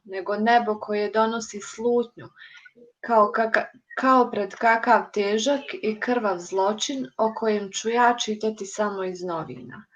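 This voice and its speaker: A woman from Bosnia and Herzegovina reciting her poem in a measured way as a guest poet. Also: mid-range voice. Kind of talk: poetry recitation. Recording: dull lo-fi online call audio.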